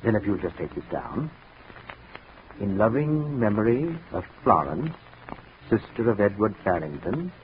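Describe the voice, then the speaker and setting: Fussy, chilly gentleman, lowish, prim and controlled; 1940s radio drama on thin narrow-band recording. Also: big pitch swings, unhurried, slight background noise. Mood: formal, measured. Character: fussy, cold, precise, irritable brother of three sisters.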